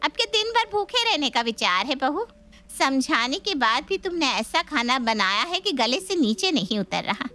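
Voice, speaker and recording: A woman's voice: high-pitched, big pitch swings, normal speed, bright, forceful tone, heavily acted. A woman voicing an irritated senior woman of the household, complaining sharply in a family story. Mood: annoyed, scolding.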